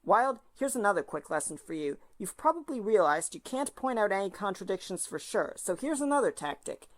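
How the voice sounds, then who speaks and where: A woman playing a brisk, confident mentor to a rookie lawyer in an amateur audio drama, with music behind. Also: medium pitch, sing-song, lightly acted. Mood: instructive.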